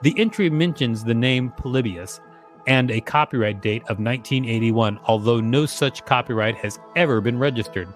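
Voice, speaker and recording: A man's voice: mid-range voice, moderate pace, faint music behind. A man co-hosting a podcast, reading an article aloud and breaking in with teasing, chatty asides.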